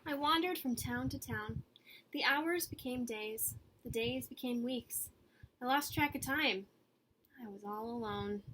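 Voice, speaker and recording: High-voiced earnest storybook egg played by a woman. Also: slight background noise, low-quality recording. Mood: lonely, reflective. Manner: expressive, dramatic pauses and emphasis. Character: earnest, eager, weary good egg telling its story. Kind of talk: picture-book read-aloud.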